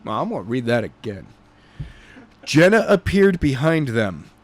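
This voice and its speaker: A man narrating a novel chapter in an animated amateur audiobook style, stumbling and correcting himself as he reads. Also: mid-range voice, dry recording. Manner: halting, stumbling read with self-corrections.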